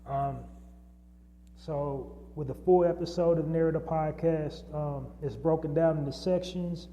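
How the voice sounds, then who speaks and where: A man's voice: fairly high pitch, steady tone, measured pace, mellow, muffled recording. A man hosting his own podcast, talking off the cuff in a solo monologue with many fillers and restarts. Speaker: podcast host. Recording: long reverb and faint hum.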